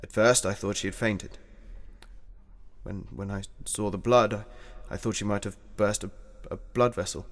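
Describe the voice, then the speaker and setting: Low-voiced man acting, a grieving, halting general. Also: fairly even intonation, natural voice. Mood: grave, halting. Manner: halting, formal, subdued. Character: grieving, haunted Edwardian general, gentlemanly widower. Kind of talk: radio play acting.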